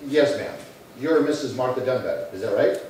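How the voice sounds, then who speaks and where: In a very reverberant room, well away from the mic, a man plays a radio-drama police detective. He keeps a middling pitch and speaks in a clipped, deadpan, businesslike way, with faint noise around him. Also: a little fast, recorded from a distance, lightly acted. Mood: businesslike, polite. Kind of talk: 1950s radio crime drama acting, scripted narration. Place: live stage radio-show performance in roomy space.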